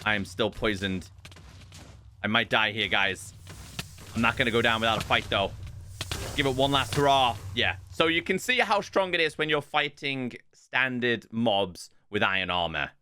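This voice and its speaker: A man giving game showcase commentary as a video host, in an expressive, emphatic presenter's delivery. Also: mid-range voice, moderate pace, slight background noise. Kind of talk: game showcase commentary, part scripted narration, part live reaction. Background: music.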